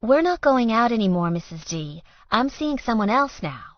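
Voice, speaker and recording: Woman playing a light, teasing small-town hairdresser, raised pitch. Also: big pitch swings, normal speed, no room sound, lo-fi sound. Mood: light, polite.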